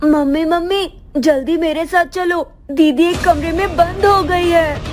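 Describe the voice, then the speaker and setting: Lady doing a tiny scared kid voice, super high, slow. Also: steady tone, darkish tone. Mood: urgent. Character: frightened little boy. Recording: music playing behind.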